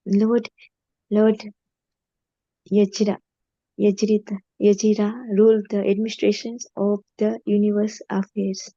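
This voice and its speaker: A woman reads a translation aloud in a hesitant way, often stumbling over names. Her voice is flat and mellow, with very little change in pitch. The sound is dry and muffled, like a lo-fi recording. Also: measured pace, long pauses, no echo. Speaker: student reader. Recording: dull, lo-fi sound.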